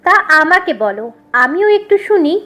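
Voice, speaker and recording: A woman's voice: very high-pitched, sing-song, a little slow, bright, forceful tone, exaggerated voice. A woman playing a sweetly teasing, insistent young sister-in-law in an acted audio story.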